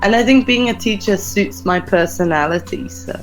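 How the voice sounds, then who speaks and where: A young Englishwoman, a teacher asking interview questions in a slow, patient, encouraging way. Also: mid-range voice, faint music behind, low-quality recording. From England. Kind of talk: casual conversational interview over a call.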